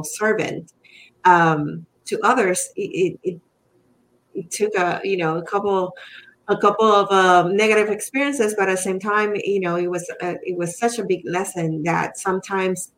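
A woman's voice: lowish voice, a bit bright, slight background noise, low-quality recording. A middle-aged woman from Puerto Rico with a Spanish accent, answering as an interview guest in an earnest, reflective way and often searching for her words. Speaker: podcast guest, real estate professional. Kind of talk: casual interview conversation.

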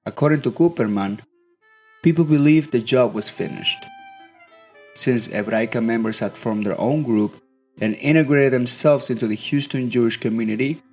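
Young guy reading a script, even and measured, soft music under it. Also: medium pitch, husky. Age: young adult. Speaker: student narrator. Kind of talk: scripted documentary narration.

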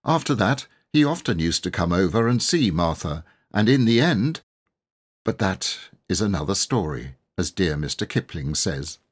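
A man's voice: sits low, big pitch swings, normal speed, slightly rough. A man narrating a children's story from a script as an audiobook reader.

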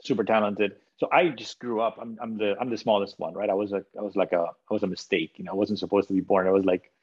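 A man from Spain chats as a podcast guest, stopping and restarting his sentences as he warms to the topic. His voice sits low. The recording is muffled and lo-fi. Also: fairly even intonation, slightly rough. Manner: halting, many restarts, enthusiastic about music. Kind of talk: casual interview conversation.

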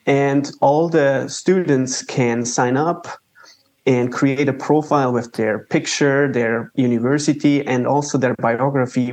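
Man speaking English, casual. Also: medium pitch. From Switzerland. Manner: relaxed, conversational, with small grammar slips. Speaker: guest entrepreneur. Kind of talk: interview answers.

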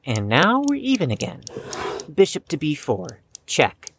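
Audio drama young chess prodigy, played by an actor: defensive, slightly stiff, expressive pitch swings, faint background noise. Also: unhurried, full character voice. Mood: guarded, defensive. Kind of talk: scripted audio drama acting.

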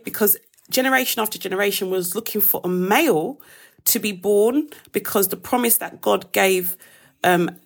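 A young woman with a gravelly, croaky voice leads a live devotional. She takes her time, praying and then walking her listeners through a Bible verse in an easygoing, conversational way. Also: mid-range voice. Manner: casual, chatty Bible teaching to a live audience. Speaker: devotional host. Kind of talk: live-streamed daily devotional and prayer.

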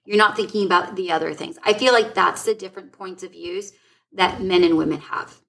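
A woman's voice: fairly low pitch, fairly quick, vocal fry, a bit bright. A woman co-hosting a casual podcast, chatty and laughing often, restarting her sentences as she thinks aloud. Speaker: podcast co-host.

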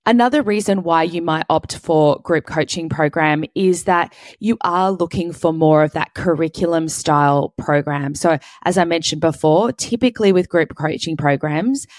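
A woman with a husky, hoarse voice, hosting a solo podcast and explaining business coaching step by step. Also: deep voice, normal speed, hardly any pauses, a little mellow, dry recording, studio quality. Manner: explains step by step in clear lists. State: hoarse, sore throat.

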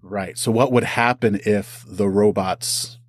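A man's voice: fairly low pitch, steady tone, slow, no echo, clean studio sound. A man talking casually as an interview guest and writer, with a self-deprecating, good-humoured way of speaking. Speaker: audio drama writer.

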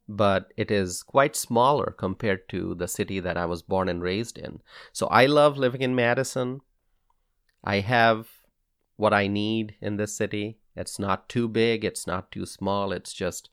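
A man with a Bangladeshi accent hosting a solo political podcast, speaking in a deliberate, emphatic way and repeating phrases for weight. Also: fairly low pitch, vocal fry, a bit bright, dry recording, studio quality.

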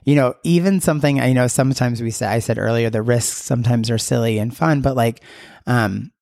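A man's voice: mid-range voice, brisk, gravelly, a little mellow, no echo, clean studio sound. A young adult man, a teacher co-hosting a casual podcast, talking enthusiastically with lots of fillers. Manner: enthusiastic, uses many fillers. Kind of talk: casual conversation.

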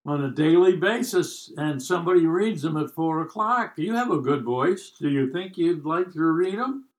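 An American man in his nineties telling stories casually, with a wry, joking, rambling manner. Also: on the high side. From United States. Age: 90s. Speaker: podcast guest telling life stories. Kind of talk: casual storytelling.

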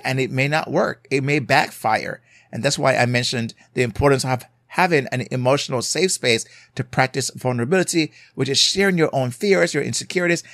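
A man's voice: fairly high pitch, a bit bright, dry recording, clean studio sound. A man with a Jamaican accent who hosts a podcast as a marriage coach. He gives advice in an earnest, conversational way and often checks in with his listeners. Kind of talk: solo podcast advice talk.

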